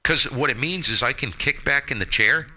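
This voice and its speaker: A man's voice: mid-range voice, moderate pace, sharp tone, poor audio. A man chatting casually about his radio hobby in a blunt, opinionated way, with mild folksy exclamations, speaking into a headset mic. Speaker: ham radio operator hosting a live stream.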